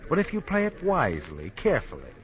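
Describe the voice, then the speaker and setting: An actor playing an ironic, knowing narrator of a 1950s radio suspense drama, taunting and deliberate. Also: male voice, on the high side, wildly swooping pitch. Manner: taunting, deliberate, addresses the character as you. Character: ironic, knowing male narrator addressing a guilty woman. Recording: muffled old radio broadcast with music. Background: music.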